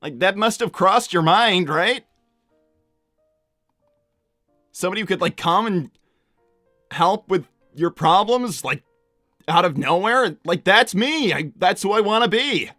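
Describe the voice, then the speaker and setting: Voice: mid-range voice, lively intonation, moderate pace, bright, forceful tone, no echo. An earnest young male hedgehog hero, acted out by a live roleplayer, pleading in loose, stammering speech. He is stubborn and insistent, in a close, dry recording with faint music behind. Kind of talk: improvised live character roleplay.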